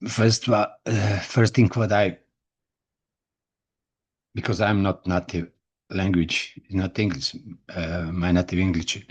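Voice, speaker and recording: Slow, husky-voiced Croatian-accented man. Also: sits low, flat delivery. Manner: halting, broken English with many restarts and repeated words. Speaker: indie author and book vlogger. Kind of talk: nervous personal talk to camera.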